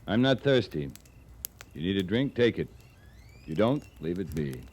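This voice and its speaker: An actor playing a calm, commanding frontier cavalry captain, terse and dry, in an old-time radio western. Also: male voice, low voice, unhurried, full character voice. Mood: firm, calm. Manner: terse, dry, matter-of-fact. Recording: hissy old radio drama recording.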